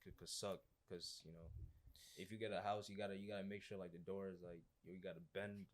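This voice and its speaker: A man's voice: low-pitched, little pitch movement, fast. A young man sharing personal anecdotes in a casual group chat, slangy and repeating phrases for emphasis. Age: young adult. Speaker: podcast participant.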